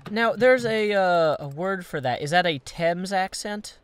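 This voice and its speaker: Lively man chatting over a game. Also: high voice, measured pace, clean tone, no echo. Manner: rambling, thinks aloud while building. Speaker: game player. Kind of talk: casual gameplay chat.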